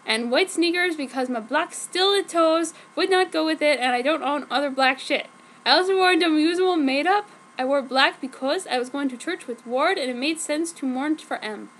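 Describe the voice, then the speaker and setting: A woman's voice: high voice, moderate pace, a bit bright. A woman narrating fan fiction in a theatrical, mock-dramatic reading style. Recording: clearly noisy home recording.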